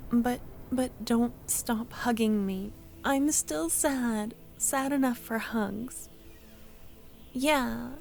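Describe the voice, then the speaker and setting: A woman playing a tender, wistful young childhood friend in a soft-spoken, breathy ASMR audio roleplay, often close to a whisper. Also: on the high side, faint music behind, exaggerated voice. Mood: tender, comforted. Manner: slow, tender, hesitant.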